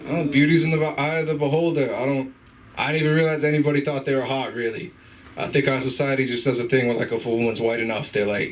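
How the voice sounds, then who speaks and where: A young man in his twenties works through an open-mic stand-up set, halting, full of fillers and casual swearing, and chuckling at his own jokes. He sounds some way from the microphone, and a faint room hum sits under his voice. His pitch sits in a middling range for a man. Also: recorded from a distance, rough recording. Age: 20s. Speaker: stand-up comedian. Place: comedy club stage.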